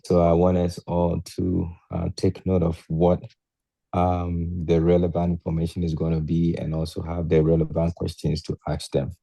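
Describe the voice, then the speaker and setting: Male chairman, Ghanaian accent, creaky and very mellow voice, dry sound, formal address. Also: low-pitched, completely flat delivery, moderate pace, dry recording, rough recording. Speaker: group chairman.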